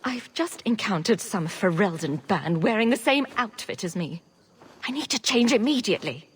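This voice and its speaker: A woman's voice: medium pitch, expressive, moderate pace, a little hiss or hum, natural voice. A woman voicing a sardonic, confident noble adventurer in a video game, with a dry, wry delivery. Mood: haughty urgency. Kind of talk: video game cutscene acting.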